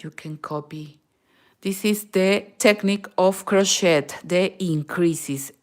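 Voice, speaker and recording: Peruvian woman, native Spanish, for a knitting tutorial; very slow, patient delivery, counts stitches out loud. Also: on the low side. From Peru. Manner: mixes in Spanish words. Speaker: knitting teacher.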